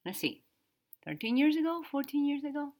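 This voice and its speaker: A woman in her thirties from the Dominican Republic, speaking English with a Dominican Spanish accent as a podcast cohost, hesitant and pausing to find words, often laughing. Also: high-pitched, big pitch swings, darkish tone. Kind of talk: casual podcast conversation.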